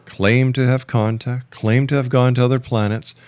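A man's voice: mid-range voice, level tone, normal speed, a little mellow, dry recording. A middle-aged man hosting a radio talk show, speaking insistently and emphatically and repeating phrases for effect. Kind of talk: radio interview with argumentative commentary.

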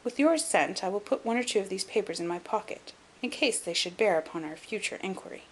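Woman reading as a lively, shrewd gentleman detective. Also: medium pitch, slight background noise, natural voice, poor audio. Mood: composed, polite. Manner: measured, articulate, dry. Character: shrewd, composed gentleman detective, dryly superior. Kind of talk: audiobook character acting.

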